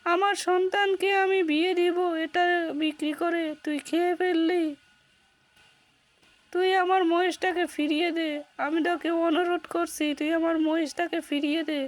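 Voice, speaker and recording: A woman's voice: very high-pitched, fairly even intonation, normal speed. A woman voicing a poor, stubborn village farmer and worried father in a cartoon, earnest and plain-spoken. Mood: distraught, pleading. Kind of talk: cartoon character acting. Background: music.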